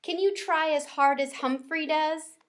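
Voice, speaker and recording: A woman's voice: high-pitched, unhurried, pitched above the performer's own voice. A woman voicing a dramatic, encouraging female primary teacher in a storybook, theatrical and supportive.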